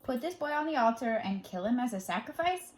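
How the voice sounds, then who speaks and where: A woman reading a children's Bible story aloud as a storyteller, dramatic in delivery, moving between emphatic and hushed phrasing. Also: fairly high pitch, expressive, fairly quick, poor audio.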